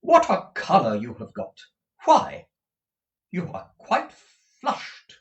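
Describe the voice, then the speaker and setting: Man putting on a fussy, shrill middle-aged mother. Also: wildly swooping pitch, takes it slowly, muffled recording, heavily acted. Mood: excited. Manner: emphatic, exclamatory, rambling.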